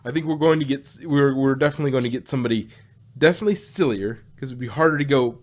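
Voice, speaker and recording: A man co-hosting a podcast, talking casually about a show in a halting, rambling way with frequent restarts. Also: medium pitch, darkish tone. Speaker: podcast co-host.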